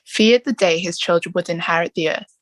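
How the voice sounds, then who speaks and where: A woman playing a male Latin teacher in a table read, lecturing on myths in a measured, theatrical way with rhetorical questions. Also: lowish voice, no room sound, natural voice, rough recording. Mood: dramatic lecturing.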